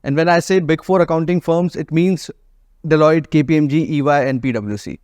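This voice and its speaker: Higher-pitched Indian male podcast host. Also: brisk, a little mellow, smooth, dry recording, clean studio sound. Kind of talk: casual panel interview hosting.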